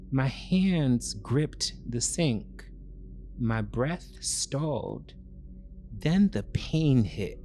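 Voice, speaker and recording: A man narrating a first-person dramatic story in short, clipped phrases with heavy pauses. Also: mid-range voice.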